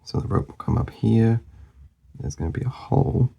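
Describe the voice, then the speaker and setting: A man talking casually as he works through a drawing, mumbling and rambling in a soft voice that often drops to a whisper, and he is losing his voice. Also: lowish voice, animated, moderate pace, gravelly. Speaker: artist demonstrating a drawing.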